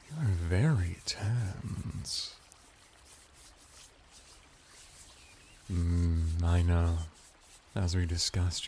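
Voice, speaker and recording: A man's voice: low-pitched, creaky, warm tone, a little hiss or hum, exaggerated voice. A man playing a flirty, deferential merman bathhouse attendant in an ASMR roleplay, teasing and soothing as he talks.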